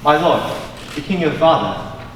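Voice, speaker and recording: A young man plays an earnest, formal courtier in Shakespearean style. His grand declamation is highly animated. It carries from a distance through a very echoey auditorium with faint noise. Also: a little slow. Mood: solemn, urgent. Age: young adult. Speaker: student stage actor. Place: large indoor school auditorium, on stage.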